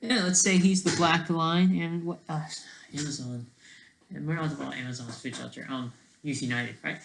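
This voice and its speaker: Bright, higher-pitched man rambling on a livestream. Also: fairly even intonation, gravelly, slight background noise. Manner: rambling, halting, trails off mid-thought. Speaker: streamer. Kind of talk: casual rambling livestream talk.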